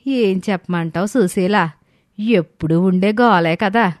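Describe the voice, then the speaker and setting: A woman's voice: mid-range voice, measured pace, dry recording, studio quality, lightly acted. A woman voicing a weary, exasperated older village mother-in-law in a story, complaining in a rustic way.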